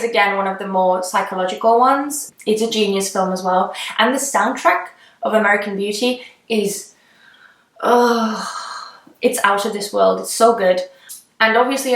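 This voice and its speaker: A woman's voice: medium pitch, fairly bright tone. A young woman from Estonia, a native Estonian speaker, chatting casually in a vlog where she answers questions about herself, gushing and rambling with fillers and trailing sentences. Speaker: beauty vlogger. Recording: echoey room.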